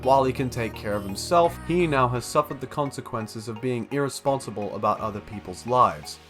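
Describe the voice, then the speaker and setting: A man's voice: medium pitch, fairly quick, a bit bright, soft background music. A young man reading a scripted video essay as an analytical narrator.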